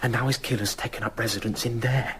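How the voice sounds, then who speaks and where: An actor playing a frightened, loyal servant in a radio drama, speaking with deferential, hushed urgency. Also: male voice, mid-range voice, fairly even intonation, quick pace, husky. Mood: anxious, indignant.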